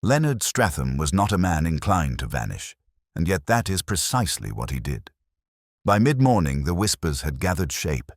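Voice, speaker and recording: An actor playing a world-weary, literate older police inspector, narrating his case in a measured, wry, reflective way. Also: deep voice, big pitch swings, dry recording, clean studio sound. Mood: brooding. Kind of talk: scripted first-person detective narration.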